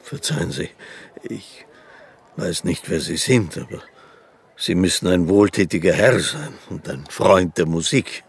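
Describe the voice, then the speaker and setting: An actor playing a poor, gentle old street musician in a radio play, speaking formally and courteously with a halting, scrupulous manner. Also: male voice, low voice, lively intonation, vocal fry, slightly rough, heavily acted. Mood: earnest, courteous. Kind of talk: radio drama acting. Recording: studio radio play with faint ambient noise.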